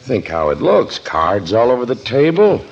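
An actor playing an anxious, deferential police chief in 1940s radio comedy, hesitant and pleading in manner. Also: male voice, mid-range voice, sing-song, moderate pace. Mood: sheepish. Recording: muffled, noisy 1940s radio broadcast.

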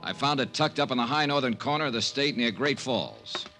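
Wry American freelance investigator, 1950s radio drama, mid-pitched, animated yet dry, over music. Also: male voice, a little fast. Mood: crisp, wry. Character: wry, skeptical freelance insurance investigator, a man. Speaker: lead actor and narrator.

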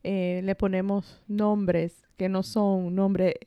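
A woman's voice: mid-range voice, flat delivery, slow pace, smooth, no echo, muffled recording. A woman with a Dominican Spanish accent, chatting informally as a podcast co-host. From Dominican heritage. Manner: informal, frequently laughing. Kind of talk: casual conversational chat.

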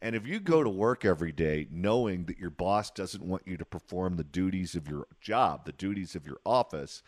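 A man's voice: low voice, expressive, normal speed, no echo, studio quality. A man hosting a radio talk show, interviewing a guest with long, conversational questions.

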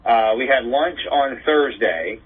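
A man's voice: mid-range voice, expressive, slow, breathy edge. A man who works as a play-by-play announcer, chatting as an interview guest over a dull remote connection. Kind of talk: conversational storytelling in an interview.

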